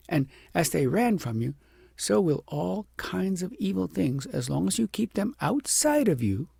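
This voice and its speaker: A grave, loving wise woman , voiced by a man teaches patiently in a storybook. The voice is lifted high, with a soft mellow tone and some husky grain. The delivery is measured and earnest. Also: no room sound, clean studio sound, heavily acted. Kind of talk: audiobook character voice.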